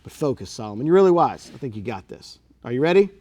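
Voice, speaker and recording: A man hosting a comedic talk-show skit, enthusiastic and animated in his patter. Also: medium pitch, fast talker.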